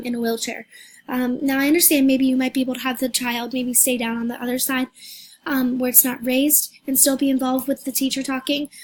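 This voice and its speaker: A hoarse young woman giving a recorded class presentation, talking hesitantly with many fillers and restarts. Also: high-pitched, a little mellow. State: hoarse, losing her voice. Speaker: student presenter.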